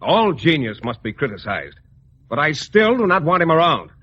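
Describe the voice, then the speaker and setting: A haughty, bossy painter in an old radio comedy with a dull, faintly hissy sound. His theatrical voice is high and swings widely as he gives imperious orders. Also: big pitch swings, moderate pace, slightly rough. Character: haughty, bossy male painter with morbid humor. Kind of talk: 1940s-50s radio comedy acting. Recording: muffled, faintly noisy old radio broadcast.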